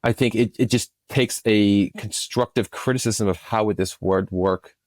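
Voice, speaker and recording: A man's voice: lowish voice, moderate pace, a little mellow, no room sound. A man speaking casually as an interview guest, often repeating words as he thinks his way through an answer. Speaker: producer, podcast guest.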